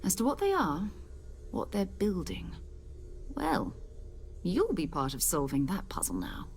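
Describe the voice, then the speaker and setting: A woman's voice: on the low side, huge pitch swings, unhurried, soft background music, full character voice. An actress playing a confident, focused woman who leads space explorers in a video game, composed and encouraging. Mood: assured, welcoming. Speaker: leader of an explorers' group. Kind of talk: video game character dialogue.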